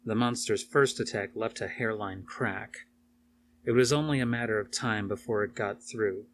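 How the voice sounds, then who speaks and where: A man's voice: fairly low pitch, moderate pace, slight background noise. A man reading an action horror novel aloud as scripted narration, with a dramatic storytelling manner. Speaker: audiobook reader.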